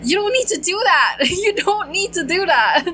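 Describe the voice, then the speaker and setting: A woman streamer giving casual, reactive game commentary, halting and repetitive, exasperated and prone to swearing. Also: extremely high pitch, lively intonation, pushed and bright, slight background noise. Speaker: gaming streamer.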